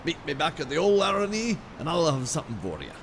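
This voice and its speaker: Bouncy, animated game character, heard faintly off-mic with light noise. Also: male voice, fairly high pitch, quick pace, creaky, full character voice. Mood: cheerful.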